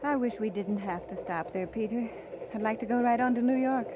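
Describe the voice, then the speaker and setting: An actress playing a devoted wife who speaks and narrates gently and reflectively in a scripted radio drama. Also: female voice, a little high, fairly quick, noisy background, natural voice. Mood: weary, loving. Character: devoted young wife, gentle, recalling her marriage.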